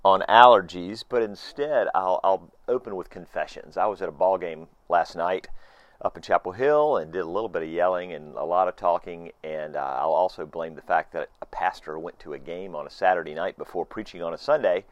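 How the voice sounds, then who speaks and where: A man in his late fifties, a pastor, giving an informal, earnest sermon from his screened porch, his voice hoarse. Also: deep voice, fairly quick, dry recording, dull audio. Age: 50s. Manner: earnest, reflective, with frequent restarts.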